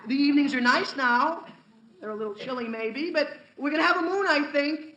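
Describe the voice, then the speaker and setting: An actor playing an earnest, scheming, awkward teenage schoolboy in old radio comedy style, with a hesitant, stammering delivery. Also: male voice, very high-pitched, a little fast, sharp tone, lo-fi sound. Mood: hesitant, persuasive. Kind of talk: 1940s radio comedy acting. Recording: roomy old-time radio recording, faint hiss.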